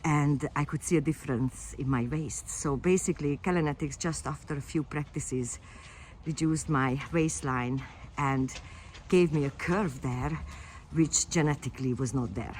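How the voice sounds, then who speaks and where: A woman's voice: low-pitched, normal speed, darkish tone, a little hiss or hum. A Hungarian woman, a fitness coach, talking casually and unscripted to camera, with frequent fillers and restarts. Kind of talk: casual personal vlog to camera.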